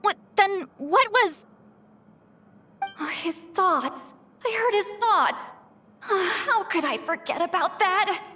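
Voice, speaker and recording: A woman's voice: extremely high pitch, lively intonation, takes it slowly, pauses often, sharp tone, faint music behind, exaggerated voice. An actress playing a grumpy, easily flustered young woman in a video game, exasperated, proud and prone to stammering. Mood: embarrassed, defensive.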